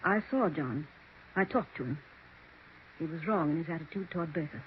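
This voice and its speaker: A haunted widow who insists on every word. Her delivery is deliberate and dramatic, in vintage radio mystery style, with a thin phone-like band and light hiss. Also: low-pitched, animated. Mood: firm, defiant. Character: haunted, insistent widowed aunt, firm and strange. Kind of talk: 1940s radio mystery acting. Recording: tinny, hissy 1940s radio recording.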